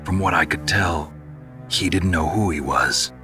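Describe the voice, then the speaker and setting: An actor playing a gruff, terse soldier on a stealth mission in a video game, restrained and gritty. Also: male voice, deep voice, a little slow, music in the background. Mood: grim, guarded.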